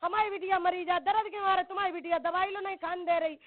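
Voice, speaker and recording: A woman's voice: very high-pitched, fairly even intonation, fast talker, dry recording. A middle-aged woman with a rural western Uttar Pradesh accent, arguing accusingly on a phone call and cutting in often. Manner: argumentative, accusatory, interrupting. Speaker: caller, mother in a family dispute. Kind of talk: heated phone argument. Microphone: telephone. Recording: narrowband phone call recording.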